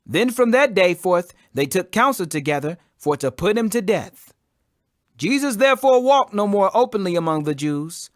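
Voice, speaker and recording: A man's voice: sits high, no room sound, clean studio sound. A man giving a solemn, emphatic, dramatized audiobook reading of the Bible. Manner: solemn, emphatic, raises voice for quoted speech. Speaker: scripture reader.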